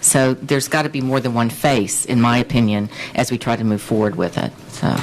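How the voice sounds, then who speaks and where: Male commissioner, explanatory tone, average pitch. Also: brisk, rough recording. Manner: explanatory, setting the record straight. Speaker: city commissioner. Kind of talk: speaking at a public meeting. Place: city commission chamber.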